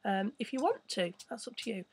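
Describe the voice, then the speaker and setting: A woman hosting a casual live stream, chatting and rambling with a croaky voice. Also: a little high, sing-song, fairly quick, slight background noise. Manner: chatty, rambling, reads viewer messages. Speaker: crypto channel host.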